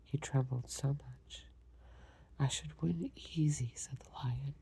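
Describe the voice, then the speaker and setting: A woman reads a storybook aloud almost entirely in an unvoiced whisper. Her sound is breathy and mellow, sitting very low, with a grainy, gravelly edge when the voice comes through. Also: steady tone, slow pace. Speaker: storybook reader.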